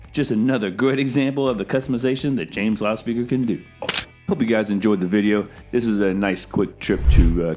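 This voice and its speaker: A man hosting a casual, enthusiastic product showcase, speaking to viewers in an upbeat, informal way. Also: fairly low pitch. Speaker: video host, audio installer giving a product tour.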